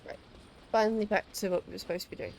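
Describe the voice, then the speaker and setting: A woman's voice: mid-range voice, steady tone, rapid-fire, a little mellow, a little hiss or hum, poor audio. A woman streaming a video game, making casual live commentary. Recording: game sound and music behind the voice.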